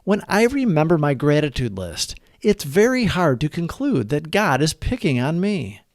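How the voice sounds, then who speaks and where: Man reading devotionals, lively rise and fall. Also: on the high side, moderate pace, dry recording, studio quality. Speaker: narrator of recovery meditation readings. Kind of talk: scripted devotional read-aloud.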